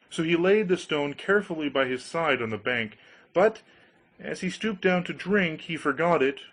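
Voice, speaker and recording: A man's voice: mid-range voice, a bit bright. A man narrating a scripted fairy tale as an audiobook reader.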